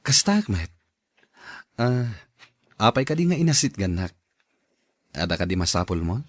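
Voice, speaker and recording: An actor playing a friendly, loyal, adoring young husband in an audio drama, with an Ilocano Filipino accent. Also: male voice, fairly low pitch, very animated, unhurried, no room sound, clean studio sound.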